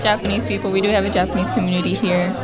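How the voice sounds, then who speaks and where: A woman's voice: medium pitch, level tone, rapid-fire. A woman chatting in an upbeat, friendly way as a guest on a live event broadcast. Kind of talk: casual chat. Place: live pop-up event at a market. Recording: busy event crowd and music. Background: crowd chatter and music.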